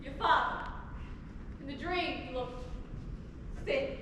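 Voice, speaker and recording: A woman's voice: high voice, slow. A young woman playing a bitter, brittle, needling middle-aged mother in a stage play. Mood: subdued, fretful. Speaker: student stage actor. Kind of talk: theatrical stage dialogue. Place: theatre stage, recorded from far off. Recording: very echoey hall, distant mic, faint noise.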